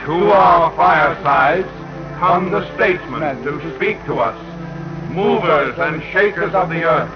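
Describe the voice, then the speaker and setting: An actor playing the grand voice of radio, solemnly declaiming to the public in 1940s narration style. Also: male voice, on the high side, a little slow, lightly acted. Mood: solemn, stirring. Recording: dull 1940 radio recording. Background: music.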